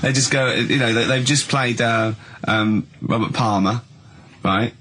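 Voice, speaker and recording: A man's voice: mid-range voice, measured pace, fairly bright tone, husky. A man doing casual comic banter as a radio host, teasing and riffing.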